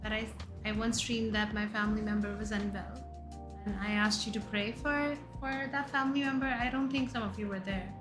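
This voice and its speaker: A woman from India with an Indian accent, a VTuber in her thirties chatting casually with her viewers and firing off questions and loud exclamations. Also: mid-range voice, fairly even intonation, brisk. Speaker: VTuber streamer. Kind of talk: casual chat reading viewer messages. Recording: faint background music under voice.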